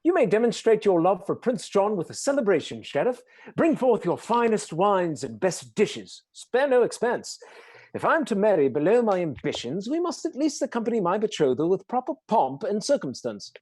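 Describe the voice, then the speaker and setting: A woman's voice: mid-range voice, sing-song, moderate pace, darkish tone, breathy edge. An actress as a scheming noblewoman in a radio drama. She gives orders imperiously, in a pompous voice that rises and falls dramatically. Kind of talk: theatrical radio play acting.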